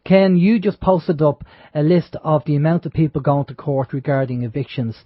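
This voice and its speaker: A man's voice: a little high, fairly even intonation, moderate pace, dry recording. Rambling Irish man hosting talk radio. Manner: rambling, many fillers and restarts. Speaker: radio show host. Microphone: studio microphone. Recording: dull, lo-fi internet radio audio.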